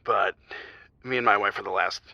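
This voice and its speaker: A man playing a grieving married father calling an advice show, speaking haltingly and confessionally, on slightly muffled audio. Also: on the low side, steady tone, vocal fry, pushed and bright, dry recording, heavily acted. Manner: halting, subdued, trailing off. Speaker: caller.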